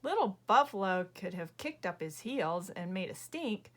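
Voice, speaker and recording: A woman reading her own children's book aloud as a measured, expressive storyteller. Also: mid-range voice. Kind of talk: storybook narration read aloud.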